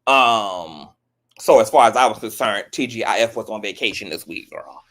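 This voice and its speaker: A man's voice: fairly low pitch, animated, moderate pace, a bit bright. A man hosting a casual livestream chat, sassy and chatty, calling everyone girl and honey. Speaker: host of a commentary livestream.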